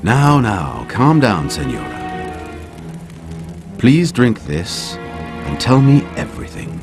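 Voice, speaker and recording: An actor playing a dignified older nobleman and protective father in a game, speaking in a courtly, measured, authoritative way. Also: medium pitch, big pitch swings. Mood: calm, reassuring. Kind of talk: video game cutscene acting. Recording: loud music behind the voice.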